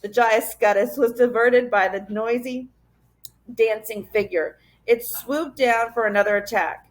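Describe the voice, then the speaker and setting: A woman narrates a children's adventure story in a somewhat high voice. She reads expressively, in the style of a teacher reading aloud to pupils. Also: unhurried, rough recording.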